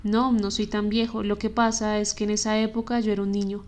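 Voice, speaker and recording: Homeless man, slangy and easygoing, monotone read, as a female narrator puts him on for an audiobook. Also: sits high, a little fast, lightly acted. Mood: laid-back. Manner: relaxed street slang.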